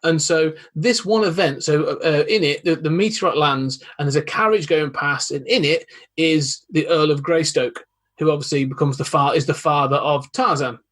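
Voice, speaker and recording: A man's voice: on the high side, normal speed, fairly bright tone. A man who is a guest on a podcast, chatting casually and explaining at length with many restarts. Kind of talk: casual chat.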